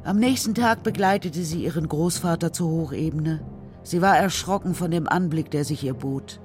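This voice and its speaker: A narrator reading a children's radio drama story in a measured, serious, literary style. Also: mid-range voice, over music.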